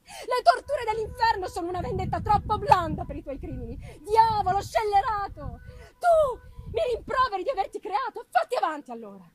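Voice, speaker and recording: A woman playing an enraged, horrified man cursing the monster he created, loud and vehement, in a dramatic gothic novel reading. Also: very high voice, very animated, brisk, pitched above the performer's own voice. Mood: furious, disgusted.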